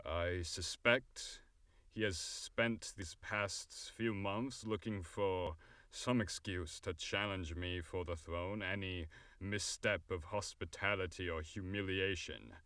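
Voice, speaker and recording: Slow, low-voiced imperious fairy king played by a man. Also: fairly even intonation, a bit bright, no echo. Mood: exasperated. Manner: formal, deliberate, imperious. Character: weary, cunning male fairy king dictating a bargain. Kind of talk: audio drama acting.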